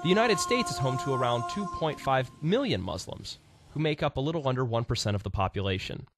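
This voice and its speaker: Lively male documentary narrator. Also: mid-range voice, brisk, over loud music. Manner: even, reading from a script. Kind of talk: scripted narration.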